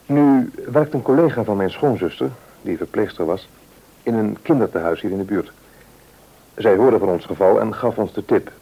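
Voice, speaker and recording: A man's voice: on the low side, moderate pace, vocal fry, mellow, breathy edge, low-quality recording. An actor playing a hopeful, polite married man in a radio play, speaking a little hesitantly with a Netherlands Dutch accent. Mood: earnest, hesitant.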